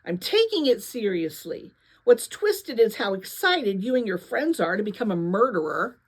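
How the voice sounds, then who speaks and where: A woman's voice: medium pitch, very animated, moderate pace, breathy edge. A woman voicing a stubborn, grumbling teenage boy in a storybook reading, defensive and proud. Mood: bitter. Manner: grumbling, indignant.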